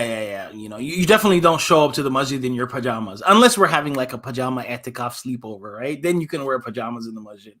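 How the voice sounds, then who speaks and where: A man, an imam, hosting a children's story time, reading and chatting in an animated, conversational way with questions for listeners. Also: fairly high pitch.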